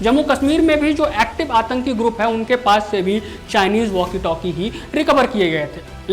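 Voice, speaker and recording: A woman news anchor explaining a story in long, partly ad-libbed sentences that circle back on phrases. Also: a little high. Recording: music bed under the voice. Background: background music.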